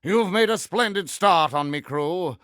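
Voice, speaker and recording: Vain pirate villain, British accent, highish swooping pitch, grandiose, mannered, theatrical game voice. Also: male voice, expressive, measured pace, a bit bright, dry recording, clean studio sound. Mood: stern, condescending. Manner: pompous, grandiose, mannered formal speech. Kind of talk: theatrical video game character voice acting.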